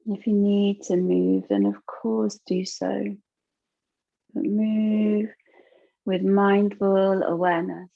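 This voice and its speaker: Female meditation guide, soothing and very slow, with noticeable vocal fry, on a dull lo-fi recording. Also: mid-range voice, lively intonation, dry recording. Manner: soothing, unhurried, gentle instruction with long pauses. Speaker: yoga nidra teacher.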